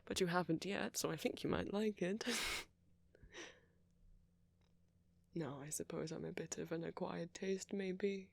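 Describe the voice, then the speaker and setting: A man playing a friendly, flirty vampire in an intimate ASMR roleplay, softly spoken, polite and apologetic as he rambles. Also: high-pitched, steady tone, normal speed, creaky, no room sound. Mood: playful, reassuring. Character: friendly, flirty, gentlemanly male vampire, chatty and considerate.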